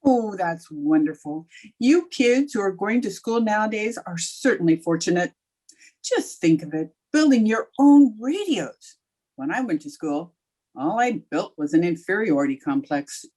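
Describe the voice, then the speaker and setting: An actress playing a wry, wisecracking high school teacher in an old-time radio comedy, with dry, sardonic delivery. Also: female voice, expressive, measured pace, dark tone, poor audio. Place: remote recording over video call.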